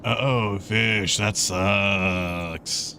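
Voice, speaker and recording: A man's voice: fairly low pitch, steady tone, drawn-out words, bright, forceful tone, a little hiss or hum. A man hosting a livestream, chatting casually and in a rambling, conversational way with his viewers. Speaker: livestream host.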